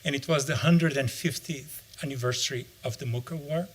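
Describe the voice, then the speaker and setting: A man's voice: mid-range voice, a little fast. Reflective middle-aged man. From Brazil. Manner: reflective, searching for words. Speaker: author and anthropologist. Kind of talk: interview answer.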